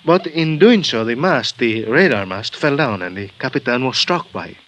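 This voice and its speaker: An actor playing a helpful, bewildered Mexican doctor with a Mexican Spanish accent, halting as he searches for English words, in a 1950s radio drama. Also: medium pitch, lively intonation, fairly bright tone, close to an everyday voice. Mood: earnest. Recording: old radio broadcast recording.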